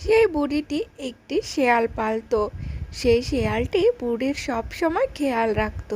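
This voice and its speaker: A woman's voice: high voice, expressive, unhurried, a little mellow, a little hiss or hum. A woman narrating a scripted fairy tale for children as the storyteller.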